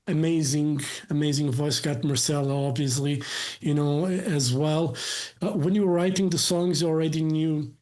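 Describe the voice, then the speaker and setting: A man's voice: a little high, steady tone, moderate pace, a little mellow. A man interviewing a musician in casual chat, rambling and leaning on "you know" fillers.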